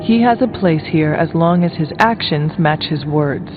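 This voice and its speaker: An actor playing a calm, terse male demon hunter and leader in a video game, speaking plainly in brief measured phrases. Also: fairly high pitch, close to an everyday voice. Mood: firm, dry. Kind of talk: video game dialogue acting. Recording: tinny band-limited game audio, music behind.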